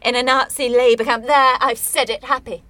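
A woman's voice: on the high side, fairly even intonation, moderate pace, pushed and bright, no room sound. An actress in a radio play voicing a blunt, bitter woman burdened by family guilt, with a sardonic, probing manner. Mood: bitter confession.

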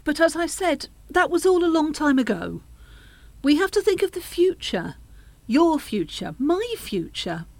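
A woman's voice: high-pitched, big pitch swings, a little slow, creaky, darkish tone, no echo, exaggerated voice. An actress in a radio play playing a middle-aged grandmother who pleads and reproaches, coaxing and justifying herself. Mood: defensive, pleading. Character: pleading, reproachful grandmother, anxious to smooth things over.

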